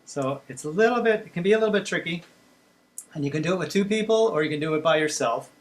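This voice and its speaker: A man's voice: on the high side, lively intonation, fast talker. A man from Boston with a Boston accent, leading a family folk music workshop on a video call with easy laughs and lots of fillers. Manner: chatty, laughs easily, many fillers. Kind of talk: casual online workshop talk.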